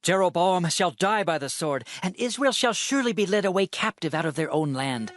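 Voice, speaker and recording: An actor playing a haughty priest in a dramatized scripture reading, declaiming in an accusing and scornful way. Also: male voice, high voice, expressive, fairly quick, a bit bright, slight background noise. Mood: alarmed, accusing. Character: haughty male priest of Bethel, accusing and dismissive.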